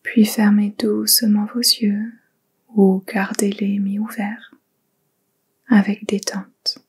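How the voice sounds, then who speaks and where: A woman leading a guided yoga nidra relaxation in a soft, breathy, whispery voice, giving gentle, soothing instructions with pauses. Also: mid-range voice, flat delivery.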